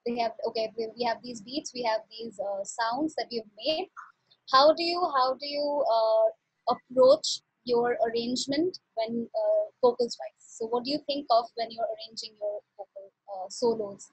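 A young woman with a high, slightly breathy voice asks interview questions through a headset. The sound is dry and close, and she speaks hesitantly, restarting and repeating words. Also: big pitch swings, normal speed, dry recording, poor audio. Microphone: headset on a video call.

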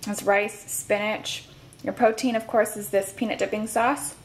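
A woman giving a relaxed, chatty food review at her kitchen counter, with some fillers. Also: medium pitch, moderate pace, slight background noise, low-quality recording. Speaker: food vlogger. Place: home kitchen counter, indoors.

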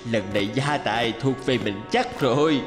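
A man's voice: medium pitch, moderate pace, close to an everyday voice. A man voicing a greedy, scheming elder brother in a fairy-tale cartoon, sly and whining. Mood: gloating. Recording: music behind the voice.

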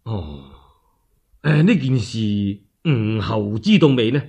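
Man playing low, hesitant widowed Cantonese lord. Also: expressive, creaky, dark tone, husky, muffled recording, exaggerated voice. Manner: sighing, slow, hesitant. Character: middle-aged widowed lord, modest, mournful and hesitant.

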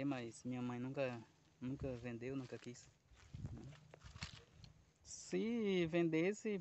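A man's voice: fairly high pitch, a little mellow, lo-fi sound, slight background noise. A man in his thirties vlogging casually outdoors on a lapel mic, chatty and rambling as he talks straight to his viewers. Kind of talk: casual vlog chat. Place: outdoors in a rural yard and fields.

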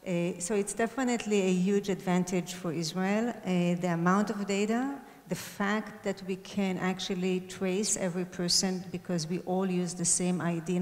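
A woman talking on a conference stage into a handheld mic, speaking at a slightly low pitch. She talks fluently but fills her sentences with "uh" and corrects herself along the way. Her voice carries a long reverb from the big hall. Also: a little mellow. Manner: fluent, many fillers, self-corrects. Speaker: panelist, hospital data and AI head. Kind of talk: panel discussion answer.

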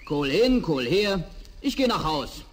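Crafty, high-voiced little peasant. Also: male voice, big pitch swings, unhurried, slight background noise. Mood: weary, curious. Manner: theatrical, self-satisfied. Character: crafty lazy little male peasant, cheeky. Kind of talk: 1960s German fairy-tale radio play acting.

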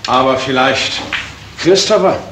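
Earnest gentleman played by a man, roomy sound. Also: medium pitch, measured pace, natural voice. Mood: troubled. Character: worried, loyal gentleman friend of the detective. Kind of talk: 1970s German radio crime drama acting. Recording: roomy, noisy vintage radio recording.

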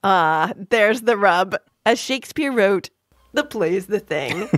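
A woman's voice: medium pitch, animated, slow pace, no room sound, clean studio sound. A woman podcast host explaining things playfully in scripted narration for a children's science show. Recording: music behind the voice.

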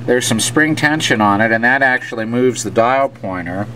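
A man's voice: mid-range voice, normal speed, low-quality recording. A man casually and matter-of-factly explaining a hands-on repair in an unscripted way as he works at an indoor bench. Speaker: radio repairer presenting his work. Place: indoor workbench. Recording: steady hum and room noise.

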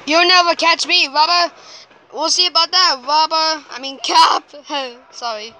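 A woman with a high, bright voice acts out cartoon characters in an amateur comic dub. Her delivery is slow, and music plays under the voice. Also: slight background noise.

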